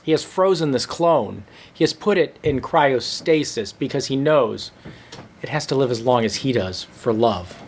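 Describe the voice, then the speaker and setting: A man reading a comic story to listeners with dramatic, drawn-out noir-style narration. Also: medium pitch, expressive, slight background noise. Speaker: comedy storyteller.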